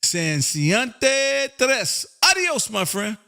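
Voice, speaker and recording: Male American ham radio host, gravelly and high-voiced, exuberant, folksy delivery with pet phrases. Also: sing-song, drawn-out words, bright, forceful tone, faint music behind. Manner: exuberant, folksy, repeats catchphrases. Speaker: amateur radio operator and livestream host. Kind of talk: casual on-air ham radio chat.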